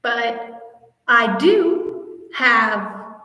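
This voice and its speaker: Female fan-channel host, high voice, gushing and chatty, echoey reverberant room. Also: lively intonation, very slow, sharp tone, muffled recording. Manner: gushing, enthusiastic fan talk. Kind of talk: casual live Q&A chat.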